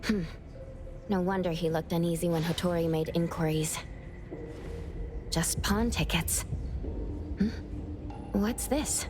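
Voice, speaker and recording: A voice actress playing a composed, analytical young female investigator in a video game, reasoning aloud in a measured, serious way. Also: lowish voice, steady tone, over music. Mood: explaining. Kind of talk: video game character acting.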